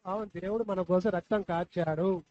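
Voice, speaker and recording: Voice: mid-range voice, fairly even intonation, normal speed, warm tone. A puppet show host talking live to children, coaxing and repeating questions. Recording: dull lo-fi live recording, faint noise.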